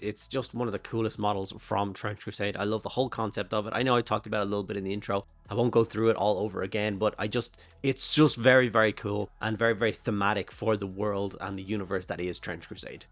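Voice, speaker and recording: A hoarse Irish man with a flu-worn voice talks casually through a miniature painting tutorial, rambling and thinking aloud with plenty of fillers. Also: fairly low pitch, dry recording. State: hoarse from a flu and throat infection. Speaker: miniature painting channel host.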